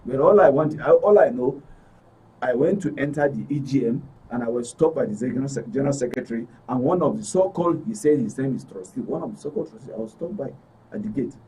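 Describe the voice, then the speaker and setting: Nigerian guy telling his story, super soft dark tone, bit far from mic, repeats himself. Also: mid-range voice, quick pace, breathy edge. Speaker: studio guest. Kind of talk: conversational personal account. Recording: dull distant mic, faint background noise.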